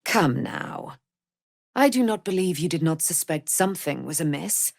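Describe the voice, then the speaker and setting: An actress playing a proud, righteous immortal warrior, daughter of a moon goddess, with grandiose, formal delivery in a fantasy video game. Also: female voice, deep voice, lively intonation, moderate pace, creaky, darkish tone, no room sound, studio quality. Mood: defiant, challenging.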